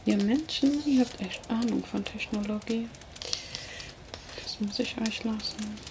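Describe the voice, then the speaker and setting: A woman voicing a teasing, predatory female vampire kidnapper in an ASMR roleplay, whispering softly and flirting close to the mic. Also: medium pitch, measured pace, background noise, natural voice. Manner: soft whispering, playful and flirtatious. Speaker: ASMR creator.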